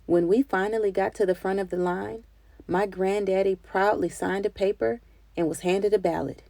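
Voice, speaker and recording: A woman narrates a children's storybook with expressive, deliberate delivery. Her voice is relaxed and dark with a creaky edge, recorded dry and close. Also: mid-range voice, dry recording. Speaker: children's book reader.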